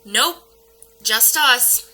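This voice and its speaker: A woman's voice: on the high side, animated, extremely slow, bright, forceful tone, heavily acted. A woman playing a skeptical, level-headed paranormal investigator in an audio drama, dry and matter-of-fact. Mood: calm, dismissive. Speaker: actor in an audio play. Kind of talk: modern naturalistic audio drama.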